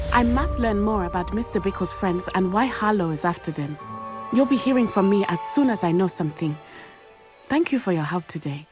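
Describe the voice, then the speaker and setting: An actress playing a solemn, thoughtful young witch student in a video game, speaking in measured, formal phrases. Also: mid-range voice, lightly acted. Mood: resolute, kind.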